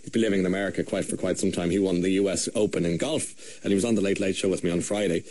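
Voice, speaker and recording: A man's voice: low voice, fairly even intonation, racing, a little mellow. An Irish man hosting a radio interview in the studio, asking teasing, leading questions. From Ireland. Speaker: radio host.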